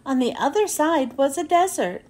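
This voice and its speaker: A woman's voice: high voice, expressive, normal speed, a little mellow. A woman reads a children's storybook aloud, phrasing carefully and expressively for young listeners. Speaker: children's book reader and host.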